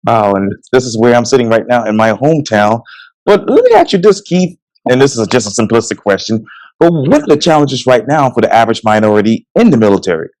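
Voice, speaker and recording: Brisk-talking male interviewer. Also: medium pitch, very animated, a little mellow, no echo, poor audio. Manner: brisk, repeats "obviously". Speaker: interview host. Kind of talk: interview questions.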